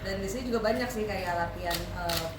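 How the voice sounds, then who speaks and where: A woman's voice: medium pitch, fairly quick. Playful woman in a noisy echoey hall. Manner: playful, laughing, hesitant fillers. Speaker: actress at a press conference. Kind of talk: casual press conference answers. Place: press event hall. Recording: echoey hall, distant mic, loud noise.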